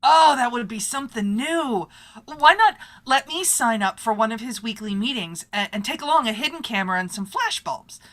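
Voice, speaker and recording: A woman playing a brash, bright-voiced girl photographer. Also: a little high, lively intonation, moderate pace. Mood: eager, scheming. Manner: breezy, slangy, emphatic. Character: brash, eager female newspaper photographer, slangy and scheming. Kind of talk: old-time radio drama acting.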